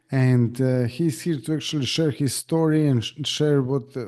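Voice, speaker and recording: A man's voice: medium pitch, mellow. A man hosting an interview podcast, asking questions with hesitant, stumbling restarts. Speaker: podcast host.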